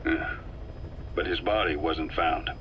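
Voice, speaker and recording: A wary military operative from a video game. His voice is low with a firm, bright edge, and he lays out information in a grave, measured way. Faint noise sits behind the recording. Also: heavily acted. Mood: wary, sober. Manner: measured, serious, expository. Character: grim older male military intelligence operative, wary and measured. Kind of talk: scripted video game radio briefing. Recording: noisy cassette tape with vehicle rumble.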